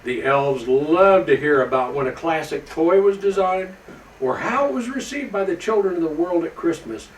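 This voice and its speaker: Man playing an animated, folksy storybook Santa, roomy sound. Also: fairly high pitch, moderate pace, lightly acted. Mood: folksy, jolly. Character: Santa Claus, jolly old man reading aloud to children. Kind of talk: storybook read-aloud to children. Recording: roomy, distant microphone with faint background noise.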